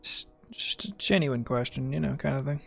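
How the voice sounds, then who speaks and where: A man's voice: mid-range voice, normal speed, creaky, a bit bright. A man doing casual gameplay commentary, jokily muttering back at the game's characters. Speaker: gamer, streamer. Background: faint game music.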